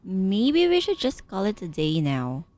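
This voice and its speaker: A woman's voice: mid-range voice, huge pitch swings, measured pace, darkish tone. A woman playing a mild, reserved young female traveller in a video game, giving brief and hesitant replies. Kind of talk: video game character dialogue.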